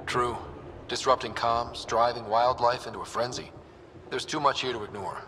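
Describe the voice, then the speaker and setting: A man's voice: mid-range voice, fairly even intonation, moderate pace, big-room sound, lightly acted. An actor playing a young male explorer hero who talks in a direct, decisive way. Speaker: player character. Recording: game audio with loud background noise.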